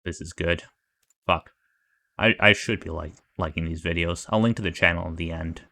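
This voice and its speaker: Male streamer, low-pitched, casual reaction commentary; halting, meandering, think-aloud delivery. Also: fairly even intonation, creaky, pushed and bright. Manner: hesitant, rambling, thinking aloud. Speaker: livestreamer.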